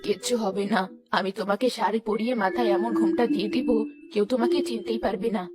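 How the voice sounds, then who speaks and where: A woman plays a kindly old grandmother in a village cartoon. She talks quickly in a matter-of-fact, soothing way, well below the shrill pitch of a ghost, with music behind her. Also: fairly even intonation, lightly acted. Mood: reassuring. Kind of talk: cartoon character acting.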